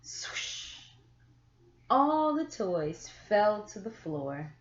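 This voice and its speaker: A woman reading a children's picture book aloud, with deliberate, expressive phrasing and drawn-out words for young listeners. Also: mid-range voice. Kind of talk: children's story read-aloud. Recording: echoey room, slightly muffled sound.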